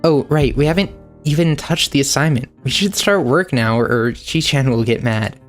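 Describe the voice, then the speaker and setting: A loud, cheerful schoolgirl full of eager bounce, put on by a man reading aloud. She talks fast and friendly, her voice lifted high above a plain speaking range. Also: faint music behind, lightly acted. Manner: bouncy, eager, laughs loudly.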